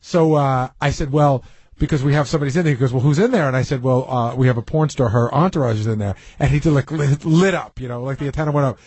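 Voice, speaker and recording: A man's voice: mid-range voice, fast, breathy edge, no room sound. A man who works on a radio show, telling anecdotes casually and acting out who said what. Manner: animated storyteller who acts out dialogue.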